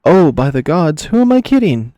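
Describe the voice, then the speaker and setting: A man's voice: fairly high pitch, very animated, normal speed, dark tone, dry recording, pitched above the performer's own voice. A man voicing a seductive, mocking lioness chieftain in a visual novel, with a teasing, courtly manner. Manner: teasing, coaxing, theatrically courtly. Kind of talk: visual novel character reading.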